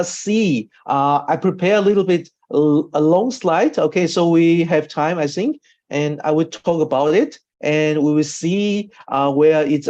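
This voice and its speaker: Halting higher-pitched man, non-native English speaker. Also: darkish tone. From Austria. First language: not English. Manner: halting, repetitive, often adds a questioning "okay?". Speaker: presenter and trainer, a doctor. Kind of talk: informal online training talk with slides. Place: online video call. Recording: dull, lo-fi online call audio.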